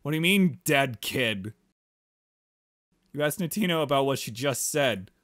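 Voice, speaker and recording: A man's voice: medium pitch, animated, a little slow, a bit bright. Man playfully reading a visual novel aloud. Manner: playful, exclamatory asides. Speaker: let's player. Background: game music.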